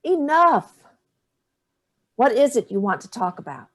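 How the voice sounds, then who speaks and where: A woman's voice: a little high, very animated, measured pace. A middle-aged woman playing a sardonic, wounded older mother in a staged play reading, with clipped, cutting delivery. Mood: tense, probing.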